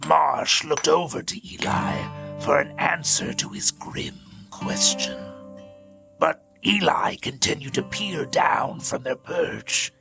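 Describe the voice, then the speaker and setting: A man reading scripted story narration in a gravelly voice. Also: a little high, takes it slowly. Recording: music bed behind the voice.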